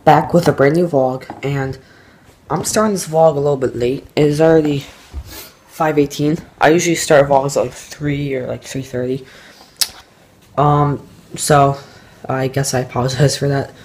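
A man's voice: fairly high pitch, fairly even intonation, normal speed, a little mellow, slight background noise. A teenage boy chatting casually to his camera at home, rambling with lots of ums and uhs. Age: teens. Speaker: vlogger. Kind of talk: casual vlog talk to camera.

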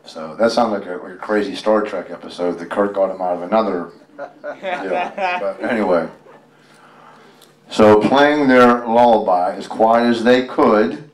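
Low-voiced man in an echoey room. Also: a little slow. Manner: chatty, folksy, rambling asides to listeners. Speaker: guest storyteller, tribute performer. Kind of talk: reading a picture book aloud with casual ad-libbed commentary. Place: public library reading event. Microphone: handheld microphone. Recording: roomy, echoey, distant microphone pickup.

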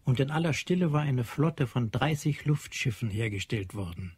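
A man narrating a German radio play as literary storyteller, solemn and measured. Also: mid-range voice, animated, a little mellow, husky, no room sound.

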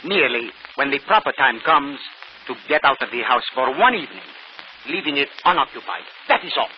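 A man plays a mysterious stage magician in a 1940s radio drama. He speaks at a middle pitch, formally and precisely, with theatrical self-assurance. The old broadcast is muffled with faint noise. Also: sing-song, brisk, natural voice. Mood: calm, confident. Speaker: actor.

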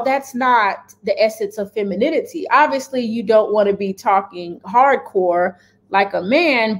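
A woman in her thirties giving her views to camera from her home kitchen, direct and emphatic, often checking in with "okay?". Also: mid-range voice. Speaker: lifestyle vlogger. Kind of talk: casual opinion chat to camera.

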